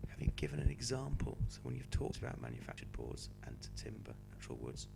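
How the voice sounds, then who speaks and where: A male teacher with a cold, hoarse and croaky, patiently talking students through a homework sheet. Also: very deep voice, sing-song, fast, noisy background. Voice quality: croaky, slips into whispery breaks. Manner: patient, explaining step by step. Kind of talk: homework help walkthrough.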